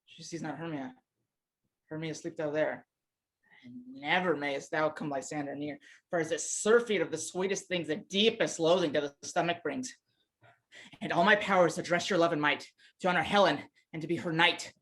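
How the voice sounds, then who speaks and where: A woman's voice: low-pitched, normal speed, fairly bright tone. A woman playing an ardent young male lover, speaking verse in a stage play. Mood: scornful. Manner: declamatory verse delivery. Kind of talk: Shakespearean stage acting.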